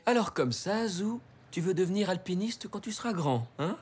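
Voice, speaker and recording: A man's voice: high-pitched, lively intonation, moderate pace, slight background noise. A man voicing a jovial father zebra in a children's cartoon, teasing and a little theatrical. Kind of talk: French preschool cartoon dialogue.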